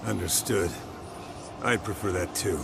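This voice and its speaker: An actor playing a blunt, troubled monster hunter in a video game, speaking in clipped phrases. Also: male voice, low voice, unhurried, vocal fry, background noise. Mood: resigned. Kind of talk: dramatic video game acting.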